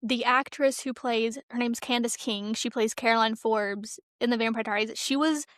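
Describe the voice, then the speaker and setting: A woman co-hosting a podcast, casually telling nostalgic personal stories and acting out quoted dialogue in an animated way. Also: on the high side, normal speed, dry recording, studio quality. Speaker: podcast co-host. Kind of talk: casual chat, nostalgic anecdotes.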